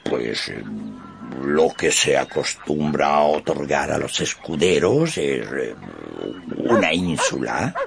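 Radio drama: valiant, deluded old knight, gravelly and wide-swinging declamation, music underneath. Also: male voice, very deep voice, big pitch swings, takes it slowly, exaggerated voice. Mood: evasive, coaxing. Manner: pompous, archaic, declamatory. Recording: music bed behind the voice.

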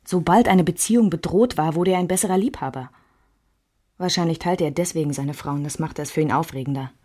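Radio drama: reflective female narrator looking back on marriage, lowish register. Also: fairly quick, dark tone. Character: reflective woman remembering her marriage and lovers.

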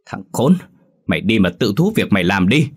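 A man voicing a stern older village father who scolds and shouts at his son, in a storybook reading. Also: fairly low pitch, expressive, fairly quick, breathy edge.

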